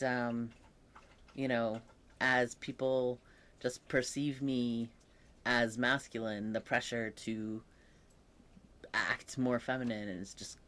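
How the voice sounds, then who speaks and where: Young man, unhurried and reflective, voice that sometimes croaks, casual vlog talk. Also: medium pitch, fairly bright tone. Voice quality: occasionally croaky voice. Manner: slow, thoughtful, with many pauses and fillers. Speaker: vlogger. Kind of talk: casual personal update.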